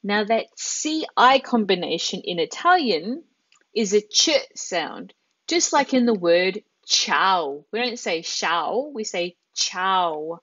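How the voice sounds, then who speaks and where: A woman's voice: medium pitch, expressive, a bit bright, dry recording. Australian woman in her thirties, upbeat online language teacher on a headset, dry, dull stream sound. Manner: encouraging, chatty, drawn-out careful pronunciation. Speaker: Italian teacher. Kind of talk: live online lesson.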